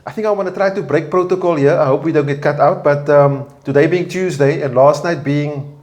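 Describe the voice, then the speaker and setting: Upbeat South African host, slightly high voice. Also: male voice, darkish tone. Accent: South African English. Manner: upbeat, laughs easily, quick asides. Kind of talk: casual sports chat.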